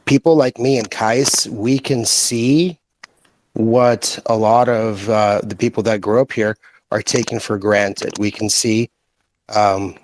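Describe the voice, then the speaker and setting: A man with an American accent giving earnest opinions in a casual, halting way in an online group chat. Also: lowish voice, fairly even intonation, darkish tone, poor audio. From born in Russia, raised in the United States from age five. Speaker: guest speaker in online group audio chat.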